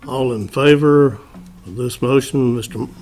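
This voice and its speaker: A man's voice: mid-range voice, normal speed, a little mellow, slight background noise. Curt man chairing a public meeting. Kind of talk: formal public meeting procedure. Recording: public meeting room recording.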